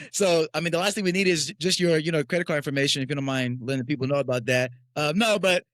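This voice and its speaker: A man co-hosting a casual podcast, excitable and full of quick, friendly banter. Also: fairly high pitch, no room sound. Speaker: podcast co-host.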